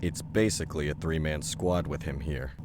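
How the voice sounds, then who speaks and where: A man playing a gruff, taunting ex-soldier. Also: extremely low pitch, fairly even intonation, moderate pace, background noise. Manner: blunt, crude, taunting. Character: gruff combative male ex-soldier squadmate. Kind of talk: audio fiction acting. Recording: music bed underneath.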